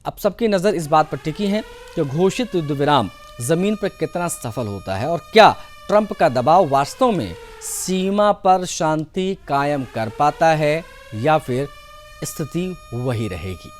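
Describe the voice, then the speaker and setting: A man's voice: a little high, expressive, a little slow, faint music behind. A man presenting television news with commentary, in the role of anchor.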